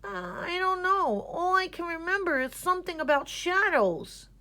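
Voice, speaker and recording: Woman as a whiny, slow young boy groundhog. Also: very high-pitched, pushed and bright, pitched above the performer's own voice, heavily acted. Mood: worried, whiny.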